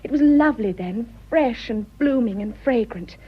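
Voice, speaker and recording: An actress playing a sensitive, romantic young upper-class English wife in a British radio drama, with a genteel, emotional manner. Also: female voice, on the high side, lively intonation, moderate pace, slightly rough, dull audio. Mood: distressed, impassioned.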